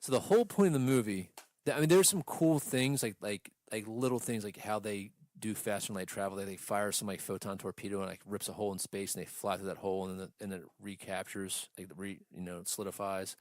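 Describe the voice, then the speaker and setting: A man chatting casually as a podcast host, ranting through a story in a profane, exasperated, animated way. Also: on the low side, fast talker, no room sound. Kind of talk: casual movie rant chat.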